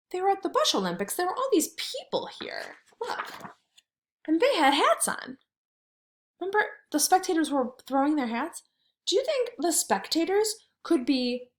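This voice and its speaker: Expressive woman reading to young children. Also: high-pitched. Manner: expressive, exaggerated wondering aloud, simple child-directed phrasing. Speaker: kindergarten teacher reading aloud. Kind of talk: children's read-aloud with think-aloud questions.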